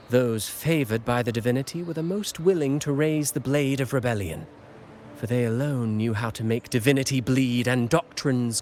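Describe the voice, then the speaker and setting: A man's voice: mid-range voice, a little hiss or hum, natural voice. Theatrical, wry male playwright in a game acted by a man. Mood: ominous, dramatic. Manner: theatrical, eloquent, relishing ominous words. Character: theatrical male playwright, brooding, wry and fond of dark tales. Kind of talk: dramatic video game voice acting.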